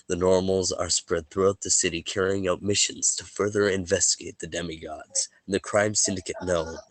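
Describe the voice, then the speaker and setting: A laid-back man with a low voice runs a tabletop game session. He sounds sniffly, and his voice is a bit worn from allergies. It's recorded close and dry, with no room around it. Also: no room sound. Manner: laid-back, joking, stumbles and restarts. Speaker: game master. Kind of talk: casual chat and recap narration for a tabletop game.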